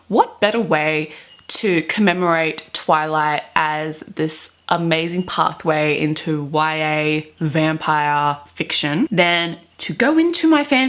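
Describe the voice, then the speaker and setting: A woman in her twenties talking casually and dramatically to camera in a bedroom, gushing with drawn-out emphasis. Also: lowish voice, fairly bright tone. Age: 20s. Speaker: book vlogger. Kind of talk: casual chatty vlog with dramatic reading aloud. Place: small bedroom, indoors.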